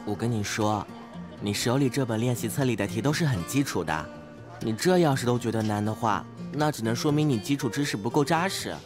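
A man's voice: medium pitch, lively intonation, brisk, close to an everyday voice. Man playing an earnest schoolboy class monitor, music behind. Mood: preachy. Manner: preachy, matter-of-fact, naive. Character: earnest, oblivious, studious teenage class monitor boy. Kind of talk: modern audio drama acting.

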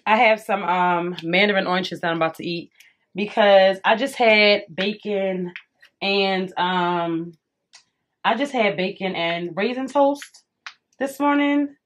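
A woman's voice: medium pitch, slow pace. A young woman vlogging casually to camera from her bedroom, chatty and eating as she talks, a little congested. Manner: chatty, addresses viewers as y'all, eats while talking. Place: bedroom at home.

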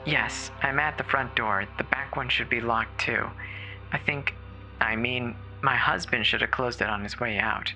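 A performer voicing a terrified woman caller alone in a snowbound house, halting and stammering with fear in a horror audio drama. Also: medium pitch, pushed and bright, soft background music, low-quality recording. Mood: uneasy, nervous. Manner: halting, fearful, breathy stammering. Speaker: emergency caller.

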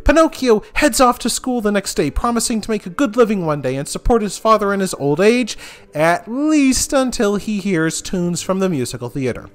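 Lively high-voiced male storyteller. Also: normal speed. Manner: expressive, lively storytelling delivery. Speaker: host. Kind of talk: scripted narration.

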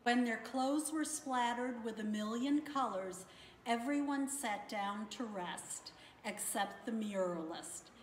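A woman reads a picture book slowly in a mid-pitched voice. The room echoes, with faint noise behind her. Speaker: school staff member reading aloud. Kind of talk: picture book read-aloud.

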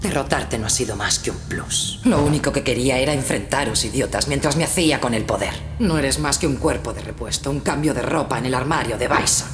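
A woman's voice: rumbling low, fairly quick, hardly any pauses. A woman with a Castilian Spanish accent voicing a sadistic, mocking, easily bored young villain fighter in an anime game dub, in a taunting drawl. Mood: gloating, sarcastic. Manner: taunting, drawling, punctuated by chuckles and hums. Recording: music behind the voice. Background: music.

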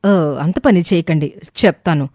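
A woman's voice: lowish voice, normal speed, a little mellow, no room sound, close to an everyday voice. Woman acting female doctor in a story, uneasy, deflecting, hesitant, dry sound. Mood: frightened. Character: female doctor, evasive, uneasy, guarded professional.

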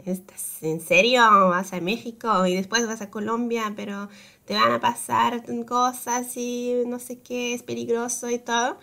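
A woman taking part in a podcast interview, speaking in a thoughtful way and often pausing to find her words. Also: mid-range voice, pushed and bright. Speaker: podcast guest.

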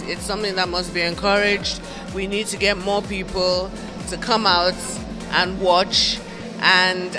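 A woman talking thoughtfully and conversationally in a press interview. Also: on the low side. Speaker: actress. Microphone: handheld reporter's mic.

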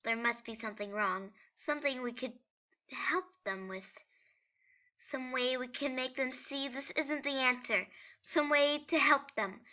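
Woman voicing an earnest, excitable, high-pitched skeleton brother. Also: measured pace, muffled recording. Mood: determined. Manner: dramatic, pleading, emphatic. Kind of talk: amateur comic dub acting.

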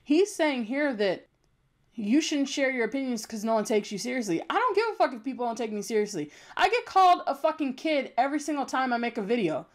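A woman's voice: high voice, sing-song, brisk. A young adult woman with a youthful voice, delivering a blunt, emphatic, unscripted commentary rant with frequent swearing. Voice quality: young-sounding voice. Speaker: YouTube commentator.

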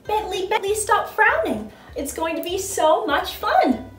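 An eager, impatient little monkey from a children's storybook, played by a woman reader. The voice is high and bounces around in pitch, exclaiming with excitement. The room sounds echoey. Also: unhurried, distant mic, heavily acted. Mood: thrilled, eager. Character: excitable, impatient young cartoon monkey Jackson, eager and argumentative.

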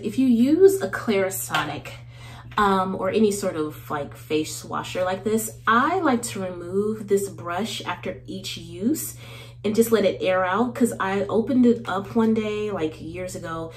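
A woman in her thirties hosting a get-ready-with-me video in her bathroom, chatty and laughing often, sounding a little nasal from a cold. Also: mid-range voice. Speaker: beauty vlogger host. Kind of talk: casual get-ready-with-me chat. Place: small indoor bathroom.

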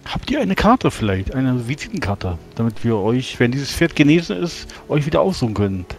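A man playing a courteous, slightly naive gentleman adventurer with formal, flowery manners in a tabletop role-play. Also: medium pitch, sing-song, a little fast, creaky. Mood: curious.

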